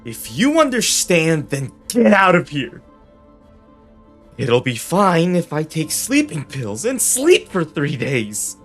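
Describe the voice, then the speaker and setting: A man playing an aloof, eccentric young detective in an anime dub, with a deadpan, theatrical delivery and a weary air. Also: fairly high pitch, slow, soft background music, exaggerated voice. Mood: curt, dry.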